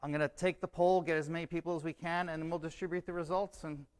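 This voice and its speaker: A man giving a measured, explanatory talk at a scientific conference. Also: a little high, steady tone, smooth. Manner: measured, explanatory, says okay as a filler. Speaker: conference presenter.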